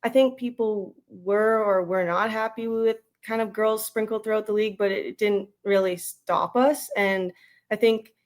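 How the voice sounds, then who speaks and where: A woman's voice: medium pitch, fairly even intonation, normal speed. A woman in her thirties with a western Canadian accent, speaking as a guest in a casual interview in an informal, rambling way. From Edmonton, Canada. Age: 30s. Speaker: interview guest, retired national team baseball player.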